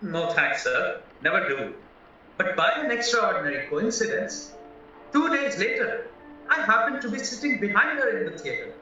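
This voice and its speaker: A man's voice: high-pitched, sing-song, moderate pace, pushed and bright, full character voice. A man playing a naive, chatty, polite young husband under suspicion in an audio play, earnestly explaining himself. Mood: candid, chatty. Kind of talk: stage-style audio play acting. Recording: very echoey, distant, muffled, music behind.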